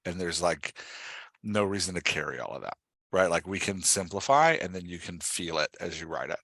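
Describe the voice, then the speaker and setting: A man's voice: sits low, expressive, normal speed, creaky, bright, forceful tone, no echo. A man hosting a conversational coaching interview, explaining with examples and often checking that he is understood. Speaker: podcast host and writing coach.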